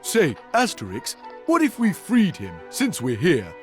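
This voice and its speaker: A man's voice: fairly high pitch, wildly swooping pitch, a little slow, faint music behind. A man voicing a big, naive, simple-hearted strongman in a cartoon game, with a childlike, guileless manner. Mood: innocent wonder. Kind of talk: animated cartoon game voice acting.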